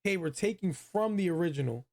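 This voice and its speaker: A man's voice: sits high, lively intonation, brisk, a little mellow. A man hosting a casual movie review chat, speaking in a slangy, emphatic, opinionated way. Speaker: host of a video game movie review show.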